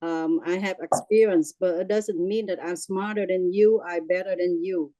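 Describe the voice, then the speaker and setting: A middle-aged woman with a Vietnamese accent speaks in a mellow, darker tone, close and dry with little room sound. She talks haltingly, stacking fillers and restarts, and breaks easily into laughter. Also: on the low side, fairly even intonation, no room sound. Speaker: group member sharing her experience. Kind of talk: casual personal sharing.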